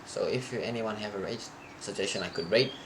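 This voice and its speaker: A man's voice: fairly low pitch, background noise. Rambling young man streaming code. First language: Thai. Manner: rambling, halting, restarts and searches for words. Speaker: programming live streamer. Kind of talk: casual stream chat to viewers.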